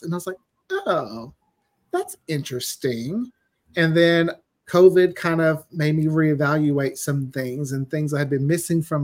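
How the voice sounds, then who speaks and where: A man's voice: on the high side, darkish tone. A man speaking as a writer guest, casually recounting how his work came about. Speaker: guest, audio drama writer.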